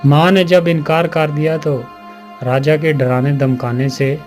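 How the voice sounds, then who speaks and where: Higher-pitched male storyteller over music. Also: little pitch movement, a little slow, darkish tone. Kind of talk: scripted moral story narration. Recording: music behind the voice.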